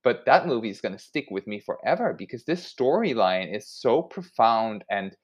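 A man's voice: medium pitch, very animated, normal speed, dull audio. A man from Switzerland giving an informal motivational talk as an activist, speaking in a conversational way. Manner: conversational, acts out imagined dialogue.

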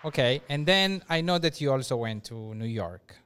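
A man's voice: medium pitch, expressive, moderate pace, a bit bright, smooth. A man hosting an interview, asking curious, prompting questions. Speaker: interview host. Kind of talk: casual interview.

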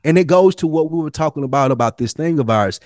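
A man with an American accent chatting casually as a podcast co-host, telling things in a slangy, profane, animated way. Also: fairly high pitch, fast talker, no room sound, clean studio sound. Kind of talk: casual TV review podcast chat.

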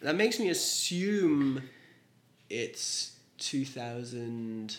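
A man's voice: mid-range voice, big pitch swings, very slow, a bit bright, reverberant. A man in his late twenties from Belgium, a podcast guest chatting casually and loosely, with lots of "like". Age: late 20s. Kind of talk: casual chat.

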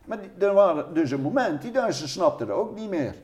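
A man's voice: sits high, very animated, brisk. A man telling family wartime stories in Dutch in a casual, anecdotal way, as an interviewee. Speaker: interviewee recounting his mother's wartime stories. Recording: roomy, distant microphone.

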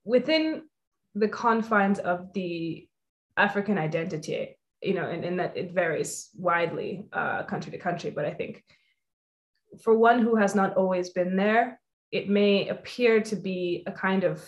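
A woman's voice: medium pitch, lively intonation, measured pace, dull audio. A young woman hosting an interview, talking in a thoughtful, earnest way and filling her sentences with "you know" and "I think". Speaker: host. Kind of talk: reflective conversation.

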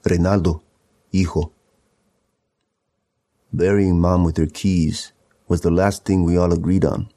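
A man reading narrative poetry aloud in a measured storytelling manner. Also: low voice, level tone, mellow, dry recording. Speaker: poetry reader.

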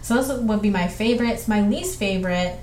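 A woman's voice: medium pitch, darkish tone. Chatty young Southern woman, rambling. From southwestern Georgia, US. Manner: rambling, chatty, filler-heavy, quick bursts. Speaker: podcast host. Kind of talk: casual solo podcast answering listener questions. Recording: echoey room with faint background noise.

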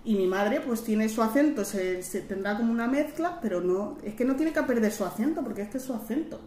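A woman's voice: fairly high pitch, lively intonation, fairly quick, a little mellow. A woman in her thirties from Madrid with a Madrid Spanish accent, chatting as an interview guest, full of fillers and asides. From Madrid, Spain. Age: 30s. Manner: chatty, lots of fillers and "¿sabes?", acts out quoted voices. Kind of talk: casual conversational interview. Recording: roomy with faint ambient noise.

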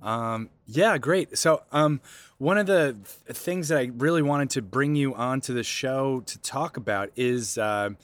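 A man's voice: mid-range voice, unhurried. A man hosting a podcast, talking conversationally as he interviews a guest. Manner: conversational, many fillers and restarts. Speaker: podcast host. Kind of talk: casual interview, reading an article aloud.

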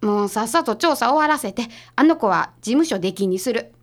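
A woman acts a prickly detective's secretary with a Kansai accent. The voice is a little high and swings widely. The delivery is curt, brisk and bossy, in radio drama style. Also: animated, natural voice. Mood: irritated.

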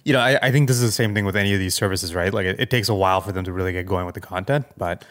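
A man with a slightly low voice co-hosts a podcast in an easy conversational style. His phrasing is informal and hesitant, put together as he goes. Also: racing, fairly bright tone. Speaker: podcast co-host. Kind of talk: casual podcast chat.

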